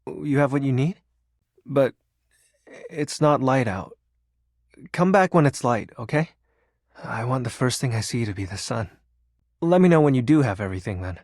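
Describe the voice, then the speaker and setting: A man's voice: medium pitch, animated, normal speed, pauses often, a little mellow, no echo, clean studio sound. A man voicing the personification of darkness in a video game, a shy, sentimental, awkward self-styled shadow lord who speaks hesitantly with bursts of theatrical brooding. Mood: gentle, eager.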